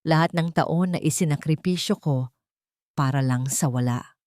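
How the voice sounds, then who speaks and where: Woman voicing a wounded, quietly resolute heiress, Filipino accent. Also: low-pitched, normal speed, no echo, clean studio sound, close to an everyday voice. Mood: bitter. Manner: soft but steady, bitter.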